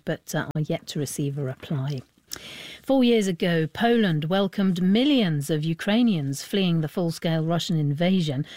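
A woman news presenter, composed and clear, introducing stories and interviewing a guest. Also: on the low side, warm tone, dry recording.

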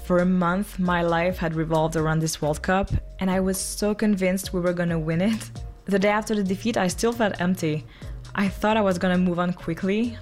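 A woman's voice: fairly low pitch, fairly even intonation, moderate pace, darkish tone, faint music behind. A woman from France, giving a personal account as a fan. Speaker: football fan contributor.

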